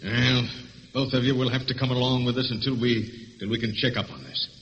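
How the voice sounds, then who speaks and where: An actor playing a gruff, exasperated police inspector in an old radio thriller, blustering and emphatic. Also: male voice, medium pitch, fairly even intonation, a little fast, fairly bright tone, natural voice. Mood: grudging, exasperated. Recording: dull, hissy old radio recording with hall reverb.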